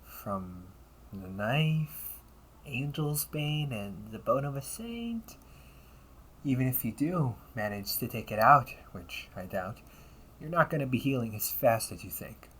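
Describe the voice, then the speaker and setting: A man playing a wry, politely smug demon-hunting officer in an audio roleplay, speaking in a courteous, measured, teasing way with breathy asides. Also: mid-range voice, very animated, fairly bright tone, a little hiss or hum, low-quality recording. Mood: cautioning, calm. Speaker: amateur voice actor. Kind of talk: audio roleplay, one-sided dialogue addressed to the listener.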